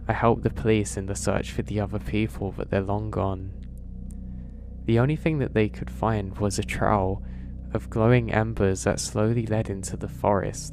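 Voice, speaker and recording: A man narrating first-person horror stories in a steady, even storytelling read. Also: lowish voice, background noise. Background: background music.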